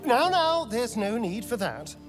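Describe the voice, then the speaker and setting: Slow, coaxing vampire. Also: male voice, extremely high pitch, big pitch swings, music in the background, heavily acted. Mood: pleading, wary. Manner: theatrical, coaxing, hesitant pleading. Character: suave theatrical male vampire, wheedling and needy. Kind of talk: video game character acting.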